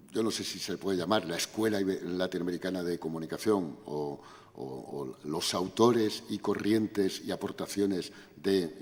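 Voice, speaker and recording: An older man, answering questions at an academic conference in a measured, lecturing way with frequent tag questions. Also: deep voice, in a large hall. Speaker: invited speaker at academic conference. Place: university auditorium.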